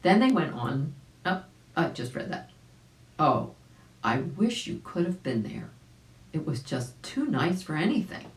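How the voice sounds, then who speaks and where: An older woman around her seventies reading a children's story aloud, expressively and deliberately, indoors a few feet from the camera. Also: on the low side. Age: older, about 70s. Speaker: volunteer storybook reader. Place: small indoor room at home. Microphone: camera a few feet away. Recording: roomy home recording.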